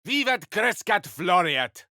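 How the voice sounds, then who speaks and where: An actor playing a male wizard in a video game, declaiming spell incantations in a forceful, drawn-out, theatrical way. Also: high-pitched, very animated, no room sound, studio quality, exaggerated voice. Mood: commanding, intense.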